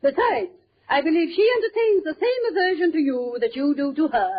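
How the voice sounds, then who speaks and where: A woman's voice: sits high, animated, brisk. A woman playing a haughty middle-aged society matron in a 1940s radio comedy, with pompous, formal diction. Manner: pompous, formal, precise wording. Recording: muffled 1940s radio recording, studio audience.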